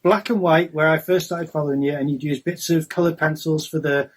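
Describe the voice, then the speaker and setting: A man's voice: a little high, steady tone, fairly quick. A man co-hosting a casual podcast, encouraging and joking with his guest. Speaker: podcast co-host.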